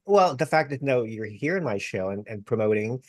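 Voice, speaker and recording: An effusive male host chatting over a video call, his pitch swinging widely as he talks. The sound is dry, with almost no room around it. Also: animated, no echo. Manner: effusive, quick to praise and laugh. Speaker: interview host. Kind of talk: casual interview chat.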